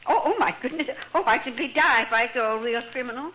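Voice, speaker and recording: A dull vintage radio comedy recording from the 1940s. a fluttery middle-aged mother in a bright, highish voice, sweetly naive and gushing. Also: fast. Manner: gushing, scatterbrained. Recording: muffled, slightly noisy 1940s radio recording.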